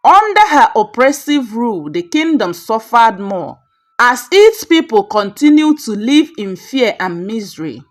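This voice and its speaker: A Nigerian woman narrates a folktale from a script. Her voice sits fairly high and moves widely up and down in pitch. She phrases the story in a measured, dramatic way, as a storyteller does.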